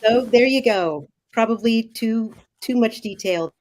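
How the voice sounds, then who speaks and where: A woman's voice: fairly high pitch, measured pace, darkish tone. A woman, a consultant guest on a video call, chatty and self-deprecating. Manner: chatty, self-deprecating, repeats "there you go". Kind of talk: casual interview chat.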